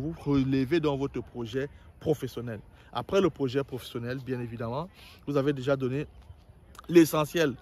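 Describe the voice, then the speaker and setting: A man's voice: medium pitch, darkish tone. A man giving a spoken tutorial on writing a visa motivation letter. He explains to viewers directly, often hesitating and restarting, and acts out sample sentences in the first person. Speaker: visa application adviser.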